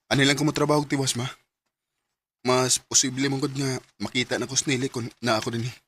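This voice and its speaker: A Filipino man with a Cebuano accent acting a shy, earnest, lovelorn character in a Bisaya radio drama, speaking haltingly. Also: medium pitch, flat delivery, fairly bright tone, breathy edge.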